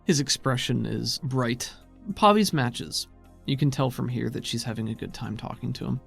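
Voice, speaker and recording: Game master, male, medium pitch with creaky fry, narrating a tabletop story over faint music. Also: moderate pace.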